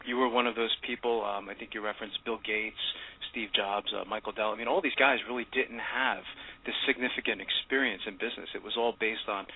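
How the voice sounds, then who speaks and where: A man hosting a conversational radio interview, effusive and quick to agree with his guest. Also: on the low side, slightly rough. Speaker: radio show host. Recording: narrow phone-like radio audio.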